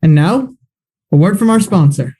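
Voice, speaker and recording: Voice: mid-range voice, lively intonation, warm tone, breathy edge. A narrator introducing scenes and breaks in a radio play. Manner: measured, announcing.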